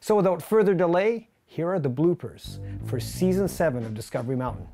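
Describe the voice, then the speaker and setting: A man in his fifties addresses listeners as a host. His voice sits a little high for a man, with some music underneath. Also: expressive, fairly quick, warm tone. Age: 50s. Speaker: show host. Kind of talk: friendly scripted-sounding address to listeners.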